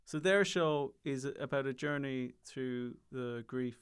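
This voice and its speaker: A man's voice: mid-range voice, slow pace, clean tone. A young Irish man, a comedian guest chatting casually on a podcast, often restarting his sentences. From Ireland. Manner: rambling, self-interrupting, frequent restarts and laughs.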